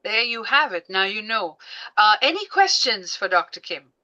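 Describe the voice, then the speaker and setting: A woman's voice: mid-range voice, very animated, moderate pace, bright, forceful tone, dull audio. A woman hosting a podcast, moderating a group video call and prompting guests for questions. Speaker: podcast host.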